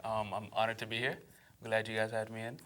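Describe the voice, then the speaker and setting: Fast-talking young man from Aruba. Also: lowish voice, monotone, fairly bright tone. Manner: fast, eager, frequent fillers and laughs. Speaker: guest. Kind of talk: casual interview talk.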